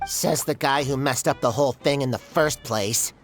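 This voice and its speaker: A woman's voice: very deep voice, moderate pace, music in the background. A woman voicing a sarcastic, no-nonsense little forest creature in a video game, with a dry, scolding manner. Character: sarcastic, no-nonsense paper forest creature, exasperated but helpful. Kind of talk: animated video game voice acting.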